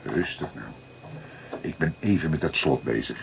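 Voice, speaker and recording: A low-voiced middle-aged detective in a crime radio drama. He is calm, courteous and deliberate in how he talks. The recording carries clear noise and clicks. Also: heavily acted. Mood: calm, intent.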